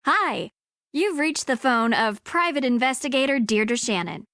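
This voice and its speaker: Female private investigator in a video game, full of herself, high voice, businesslike, very dry audio. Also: expressive, unhurried, a bit bright, no echo, heavily acted. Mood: self-important. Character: self-important woman private investigator.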